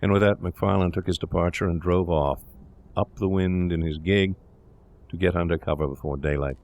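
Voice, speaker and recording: Deep-voiced man narrating a gothic tale. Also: fairly even intonation, normal speed, darkish tone, muffled recording. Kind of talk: scripted gothic story narration.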